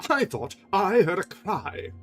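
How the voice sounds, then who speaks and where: A man voicing a furious, menacing monster of a man in a loud, snarling voice for a storybook reading. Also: high voice, big pitch swings, slow pace, soft background music, voice pushed up, exaggerated voice. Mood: suspicious. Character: furious menacing male monster, jealous captor.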